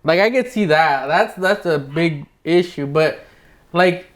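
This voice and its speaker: A man's voice: fairly high pitch, a little slow. A man hosting a casual advice podcast, talking and debating in an informal, slangy way. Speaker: podcast host.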